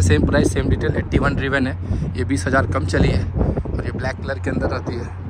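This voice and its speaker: A man's voice: medium pitch, very noisy. A man gives a casual, informative walk-around as a car vlogger, mixing in English words, recorded outdoors on a handheld phone. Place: outdoor used-car parking lot at night. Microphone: handheld phone, behind the camera.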